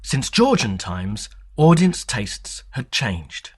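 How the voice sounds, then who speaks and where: A man reading scripted documentary narration in a measured, formal, lecturing manner. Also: medium pitch, big pitch swings, husky.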